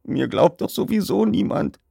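A man's voice: high voice, big pitch swings, gravelly, dark tone, slightly rough, dry recording, studio quality, voice pushed up, heavily acted. Young boy for a detective storybook, played by a man: anxious, sad, thin raised voice, hesitant and upset. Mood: dejected. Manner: halting, upset.

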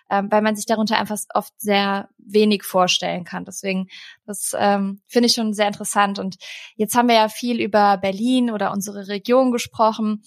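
A woman's voice: medium pitch, no room sound, clean studio sound. A woman hosting a podcast interview, asking questions in a friendly, complimentary manner. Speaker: podcast host. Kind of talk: casual interview talk.

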